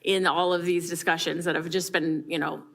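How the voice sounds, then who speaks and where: An American woman with a fairly low voice talks through questions at a council meeting. Her manner is informal and conversational, with hesitant restarts. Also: flat delivery, normal speed, creaky, a bit bright. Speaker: council member. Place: large council chamber, indoors.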